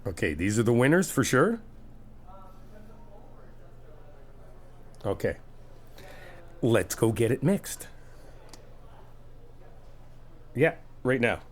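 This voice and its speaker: A man's voice: mid-range voice, big pitch swings, moderate pace, close to an everyday voice. A man playing an easygoing, encouraging friend in a casual ASMR roleplay, chatting informally and explaining things patiently. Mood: casual, nudging. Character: easygoing, encouraging male friend helping paint an apartment.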